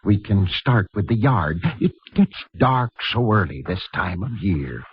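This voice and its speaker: An actor playing a kindly, absent-minded priest in a 1950s radio drama, speaking in a genteel, hesitant, apologetic way. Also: male voice, fairly low pitch, sing-song, measured pace, full character voice. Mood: genial, sheepish. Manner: hesitant, apologetic, genteel speech with small chuckles. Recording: husky, dull vintage radio recording.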